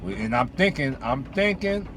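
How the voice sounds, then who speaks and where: A man's voice: medium pitch, sing-song, unhurried, noisy background. A man talking boxing as a host, casual, opinionated and slangy, repeating phrases for emphasis. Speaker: host giving boxing commentary. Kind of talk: casual opinionated sports talk. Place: in or beside a vehicle.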